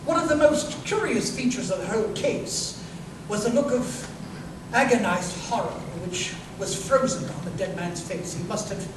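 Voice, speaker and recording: An actor playing an incisive, self-assured detective in a live 1930s-style radio drama, speaking precisely and emphatically. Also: male voice, sits high, lively intonation, normal speed. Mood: analytical. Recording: distant, echoey live stage recording, noisy room.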